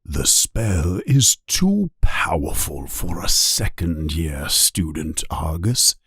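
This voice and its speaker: A man voicing a calm, grave elderly wizard headmaster, soft-spoken and measured, with a husky, breathy voice, for a storybook. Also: deep voice, dry recording, studio quality, pitched below the performer's own voice, heavily acted.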